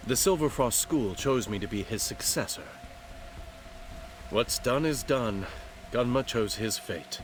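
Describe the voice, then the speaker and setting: An actor playing a guarded, world-weary martial arts master in a video game cutscene, conversational and earnest. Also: male voice, mid-range voice, slight background noise, lightly acted. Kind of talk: dramatic game cutscene acting.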